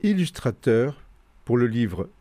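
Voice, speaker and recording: A man's voice: mid-range voice, sing-song, slow pace, warm tone, dry recording. A man reading short scripted announcements as a narrator.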